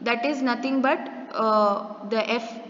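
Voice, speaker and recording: A woman's voice: on the high side, steady tone, slow, fairly bright tone, a little hiss or hum. Woman lecturing in an echoey room. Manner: explains step by step with rhetorical questions and everyday examples. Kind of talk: recorded classroom lecture. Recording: muffled echoey room with long reverb.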